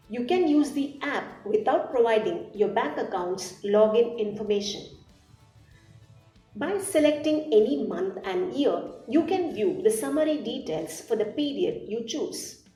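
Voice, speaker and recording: A woman reading a scripted product tutorial as narrator, in a measured, instructional way. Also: fairly high pitch, warm tone. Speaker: app demo narrator. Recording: roomy echo, distant mic, music behind. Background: music.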